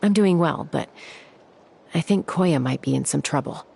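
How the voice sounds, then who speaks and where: A woman voicing a steady, frank young woman raised in the wild, speaking in a measured, earnest way for a video game. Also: deep voice, gravelly, slight background noise. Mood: concerned, earnest.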